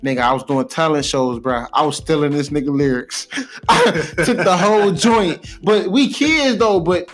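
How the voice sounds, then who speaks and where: Young american guy, slightly high voice, swearing and slangy, telling stories on a podcast, bit of music behind. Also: normal speed. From Cleveland, United States. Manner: slangy, profane, repeats himself for emphasis. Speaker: podcast co-host. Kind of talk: casual podcast chat, storytelling.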